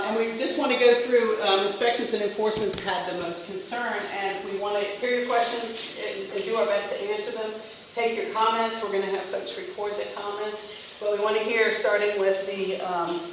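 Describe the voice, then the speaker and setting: Meeting facilitator, loose with ums, recorded from far off, faint hiss. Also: medium pitch. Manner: loose, with many ums and restarts. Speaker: county staff facilitator at a community meeting. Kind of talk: summarizing public feedback to a meeting audience. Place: large indoor meeting room. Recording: echoey hall, distant mic, faint noise.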